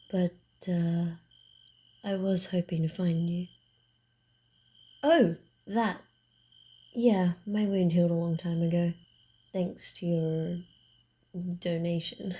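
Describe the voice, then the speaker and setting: Woman playing a slow, mellow, teasing vampire woman. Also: lowish voice. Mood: flustered. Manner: hesitant, halting, teasing, slightly flustered. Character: playful, proud female vampire wanderer on the run. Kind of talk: ASMR roleplay, acted one-sided dialogue.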